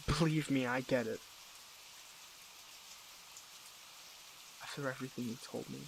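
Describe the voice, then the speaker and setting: A man acting as a tender, conflicted hero in love with a villain, in an intimate audio drama. The voice is creaky and mid-pitched with an even melody, halting and full of earnest feeling. Faint rain sits behind it. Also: a little hiss or hum, lightly acted, low-quality recording. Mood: tender, troubled. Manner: halting, earnest, emotional delivery. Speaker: voice actor in an audio roleplay.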